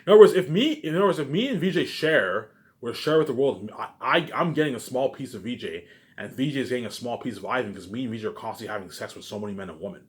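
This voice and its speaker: A man's voice: mid-range voice, lively intonation, fast. A man delivering a rambling, unscripted monologue to viewers, ranting and swearing, and often repeating himself and the same verbal tags. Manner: repetitive, with frequent verbal tags; profane, ranting. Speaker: vlogger.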